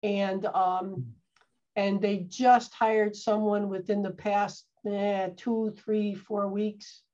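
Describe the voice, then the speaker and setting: A woman's voice: medium pitch, little pitch movement, slow pace, mellow. Woman speaking over a dull video call. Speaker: parks and recreation staff head. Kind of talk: casual meeting discussion.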